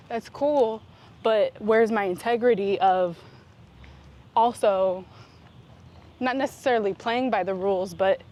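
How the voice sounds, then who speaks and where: A woman talking in a casual, reflective vlog style, earnest and introspective, with deliberate pauses. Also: mid-range voice. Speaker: vlog host. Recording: clear steady background noise.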